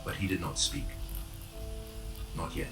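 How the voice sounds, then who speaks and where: A man narrating a dark story with a slow, brooding, weighty delivery. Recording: distant and roomy under loud music.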